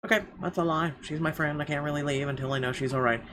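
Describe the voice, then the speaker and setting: A man's voice: fairly high pitch, steady tone, fast talker, fairly bright tone. A man reading a visual novel's first-person narration aloud as a let's player, with dramatized muttered asides. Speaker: video game let's player. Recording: clearly noisy background.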